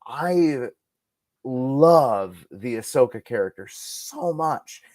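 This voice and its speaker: Male podcast guest, middle-aged, mid-pitched, earnest and emphatic fan talk, very dry recording. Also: lively intonation, very slow, darkish tone, no echo. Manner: earnest, emphatic, repeating himself for stress. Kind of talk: casual fan discussion.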